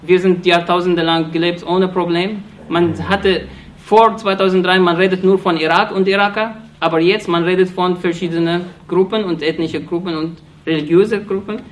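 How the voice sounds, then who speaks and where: A man speaks in a fairly high voice, pressing his points with earnest, accusatory intensity. His German is halting, full of restarts and small fillers as he hunts for words. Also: steady tone. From Iraq. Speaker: speaker addressing an audience. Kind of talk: impassioned political speech.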